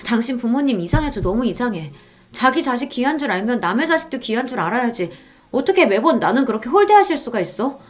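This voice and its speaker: A woman's voice: fairly high pitch, big pitch swings, fast, pitched above the performer's own voice. A woman voicing an aggrieved wife in a story, complaining to her husband with indignant reproach. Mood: hurt indignation.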